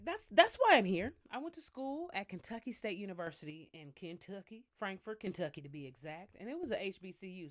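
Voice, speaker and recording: Woman from the US, casual vlog storytelling, slangy, lively, slightly high voice. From United States. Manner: lively, chatty, lots of slang and asides to viewers. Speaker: vlogger, singer.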